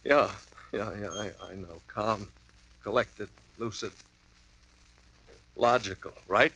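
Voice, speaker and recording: Actor playing a shaken mystery novelist, pleading, agitated, sharp bright tone, old radio drama. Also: male voice, lowish voice, takes it slowly, breathy edge, full character voice, low-quality recording. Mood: shaky. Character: frantic male mystery writer in hospital. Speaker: mystery author. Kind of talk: 1950s radio drama acting.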